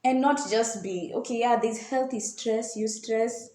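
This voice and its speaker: A woman's voice: a little high, fairly even intonation, unhurried, warm tone. A young adult woman with a Kenyan accent chatting casually on a podcast panel, repeating phrases for emphasis. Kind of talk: casual group chat. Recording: roomy echo, faint background noise.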